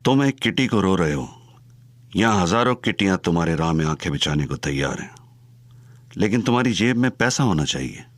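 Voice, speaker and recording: An actor playing a stern, menacing crime boss in an audio drama, deep and commanding. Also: male voice, animated, a little fast. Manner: stern, threatening, scornful. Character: menacing Chinese crime boss, older man.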